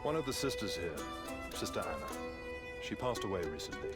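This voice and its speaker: Deep-voiced, guarded troubadour. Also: male voice, big pitch swings, fairly quick. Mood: wistful. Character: grieving male wandering troubadour, proud and guarded. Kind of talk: video game character dialogue. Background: loud folk music with a woman singing.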